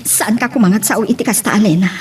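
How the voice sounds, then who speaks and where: A woman's voice: mid-range voice, quick pace, darkish tone, slight background noise, lightly acted. A woman playing a concerned, supportive friend in an Ilocano melodrama, caring and questioning. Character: concerned, supportive female friend visiting hospital. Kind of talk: Ilocano radio-style melodrama acting.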